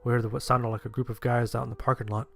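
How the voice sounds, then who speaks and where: A man's voice: medium pitch, level tone, fast, vocal fry, fairly bright tone. A man playing a sheltered, anxious young survivor, narrating his story in the first person in a plain-spoken way. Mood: narrating, tense. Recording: music behind the voice.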